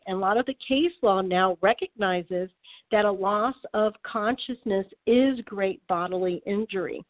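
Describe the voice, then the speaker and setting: Woman expert on a phone-thin line. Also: mid-range voice. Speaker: guest expert on domestic violence. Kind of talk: radio interview. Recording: phone-like narrow band.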